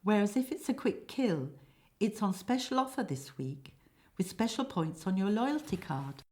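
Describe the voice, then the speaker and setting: A woman's voice: mid-range voice, lively intonation, normal speed, warm tone, voice pushed up, lightly acted. A woman voicing a brash hired killer in a comic stage play, punching key words with a salesman's delivery. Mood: businesslike, salesy.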